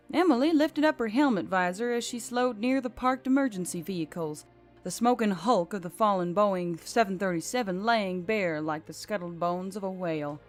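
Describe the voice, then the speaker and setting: A woman reading scripted fiction as an audiobook narrator. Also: medium pitch. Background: background music.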